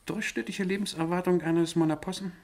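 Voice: medium pitch, fairly even intonation, a little fast, gravelly, a little mellow, close to an everyday voice. An actor in a radio drama playing a haughty, thrifty monarch with a condescending, pointed manner. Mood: dry, calculating. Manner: condescending, pointed, rhetorical questioning. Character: haughty, thrifty monarch rebuking an aging minister.

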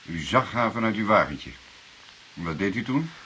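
An actor playing a shrewd older police detective in a Dutch radio drama, questioning deliberately with an insinuating irony. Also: male voice, on the low side, expressive, pushed and bright. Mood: probing. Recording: hissy radio play recording.

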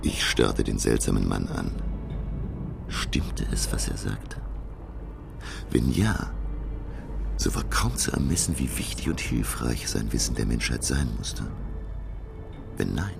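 An actor playing an inquisitive, formal professor who narrates his own sea adventure with wonder in a German radio drama, in a gravelly voice. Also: sing-song, slow, pauses often, exaggerated voice. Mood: pensive narrating. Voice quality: very deep, gravelly. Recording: echoey room, music behind.

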